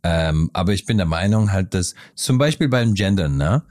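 Male podcast host, learned German as an adult, chatty with English asides, low voice that swings a lot. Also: lively intonation, moderate pace, darkish tone, no echo, clean studio sound. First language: not German. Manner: chatty, mixes in English phrases. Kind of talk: lively podcast chat and scripted intro.

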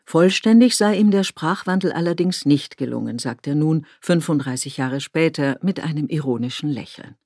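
A woman from Tyrol, narrating a documentary feature in a measured, reflective way. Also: low-pitched, a little mellow, no room sound, studio quality. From Tyrol, Austria.

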